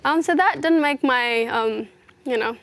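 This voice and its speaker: Young woman with a gravelly edge. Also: high voice, unhurried, fairly bright tone, no room sound. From South Sudan. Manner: reflective, earnest, many "you know" fillers. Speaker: interview guest, fashion model. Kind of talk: casual personal interview.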